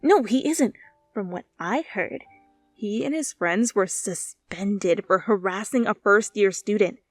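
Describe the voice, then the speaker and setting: A woman voicing a gossipy, scornful female student with an eager, animated delivery in storybook dialogue. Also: medium pitch, a little slow, no echo, faint music behind, close to an everyday voice. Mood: gossiping.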